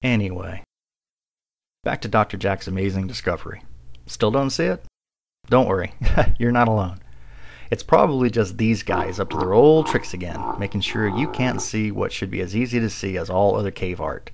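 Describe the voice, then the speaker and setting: A man's voice: lowish voice, normal speed, vocal fry. A man narrating a video voice-over with dry, mocking sarcasm.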